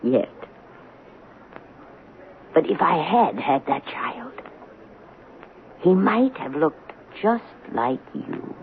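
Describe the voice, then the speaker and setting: An elderly romantic spinster with a low voice for a lady, precise and reflective. She is pious and gently probing, in vintage radio drama style, heard through a narrow, slightly noisy broadcast. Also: very animated, drawn-out words, long pauses, exaggerated voice. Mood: wistful. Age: older. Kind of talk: 1970s radio drama acting.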